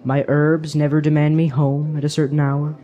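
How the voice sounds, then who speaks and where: A carefree young pleasure-seeker , acted by a man, with a mellow, lightish voice. He teases and philosophizes in wry, flowery lines from a fantasy radio drama. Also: fairly high pitch, completely flat delivery, normal speed, highs cut off, lightly acted. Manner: languid, wry, flowery phrasing. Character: carefree young hedonist drinker, teasing and philosophical.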